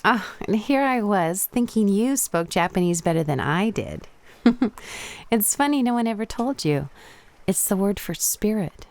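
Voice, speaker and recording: A woman's voice: medium pitch, lively intonation, a little slow, darkish tone. A woman playing a confident, teasing young Japanese woman diver in a radio play. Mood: playful, friendly.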